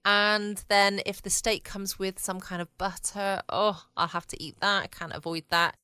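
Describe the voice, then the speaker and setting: A woman's voice: mid-range voice, steady tone, normal speed, sharp tone, clean tone, dry recording. A woman with a southern English accent chatting informally and rambling as a solo podcast host. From United Kingdom. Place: at home, dry close room.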